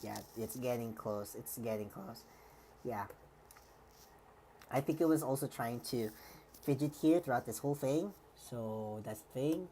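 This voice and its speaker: A woman with a strikingly deep voice talks through a live stream, hesitant and rambling, full of uh, like and restarts. Her voice sounds a little worn, and a faint hiss sits under it. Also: a little slow, pauses often, mellow. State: slightly worn voice. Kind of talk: live-stream reaction commentary.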